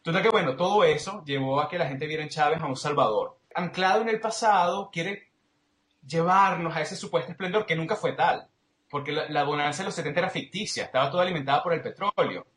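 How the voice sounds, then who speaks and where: Higher-voiced Venezuelan man in an echoey room. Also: a little fast, fairly bright tone. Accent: Venezuelan Spanish. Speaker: interview guest, political commentator. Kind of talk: analytical interview answers.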